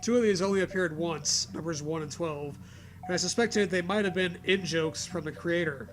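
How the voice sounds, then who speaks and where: A man's voice: a little high, normal speed, faint music behind, low-quality recording. A man reading an online horror story aloud as a comedy co-host, dropping mocking, profane asides.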